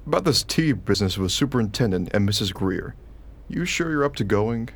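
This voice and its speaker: An actor playing a young schoolteacher husband in a radio play, anxious, dutiful and a little exasperated. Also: male voice, fairly low pitch, lively intonation, brisk. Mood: concerned. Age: young adult. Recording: faint background noise.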